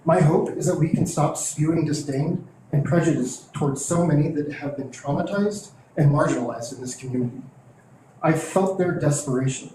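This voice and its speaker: A man's voice: on the high side, mellow. A middle-aged man, a teachers' union leader, earnestly reading a prepared statement at a school board meeting. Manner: earnest, measured, heartfelt. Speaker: teachers' union president and public commenter. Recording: echoey meeting room, faint hiss.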